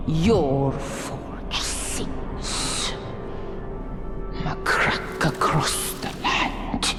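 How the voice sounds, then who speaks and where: Slow, wrathful ancient nature spirit. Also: female voice, medium pitch. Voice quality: breathy, often dropping into whispers. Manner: slow, incantatory, archaic riddling speech. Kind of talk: dramatic fantasy game acting. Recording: loud cinematic music behind.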